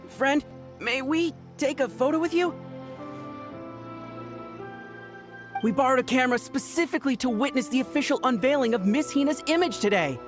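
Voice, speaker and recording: Woman as a high-voiced devoted idol fan. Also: brisk, natural voice. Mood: secretive, polite. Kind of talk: English video game voice acting. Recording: music under the voice. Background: game music.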